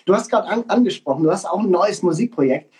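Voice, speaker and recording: A host chatting in a relaxed, informal way and encouraging the guest to talk. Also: medium pitch, quick pace, slight background noise. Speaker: interview host. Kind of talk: casual interview chat.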